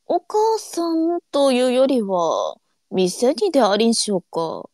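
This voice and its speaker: A woman acting a senior courtesan, fairly high voice, gentle, sly, unflappable, old courtesan dialect, dry close sound. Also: sing-song, takes it slowly, no echo. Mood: playful, sly. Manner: archaic courtesan dialect, composed. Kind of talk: Japanese period audio drama acting.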